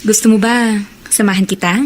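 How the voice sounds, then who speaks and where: A Filipino woman acting in a radio drama as a loving, patient girlfriend who speaks gently and affectionately. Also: mid-range voice, fairly even intonation, moderate pace, lightly acted. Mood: affectionate, reassuring.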